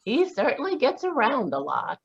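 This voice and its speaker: A woman's voice: a little high, huge pitch swings, moderate pace, vocal fry, slightly rough, no room sound, heavily acted. An actress playing a prim, indignant young woman suspect in a live radio drama. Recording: dull lo-fi audio.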